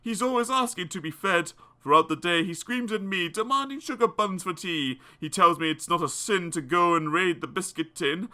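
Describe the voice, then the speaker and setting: A man's voice: high voice, moderate pace, few pauses, sharp tone, pitched above the performer's own voice. A man voicing an anxious, earnest young child who pleads insistently in a storybook poem. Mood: earnest pleading.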